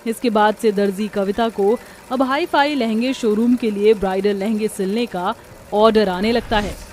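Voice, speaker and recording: A woman's voice: mid-range voice, moderate pace, darkish tone, a little hiss or hum. A woman narrator reading a scripted cartoon story.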